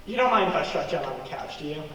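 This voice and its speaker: A man's voice: a little high, expressive, quick pace, a bit bright. A man acting a harried, sardonic fugitive wrongly accused of murder in a radio play, sounding exasperated. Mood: weary, dismissive. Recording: echoey hall, distant mic, noisy.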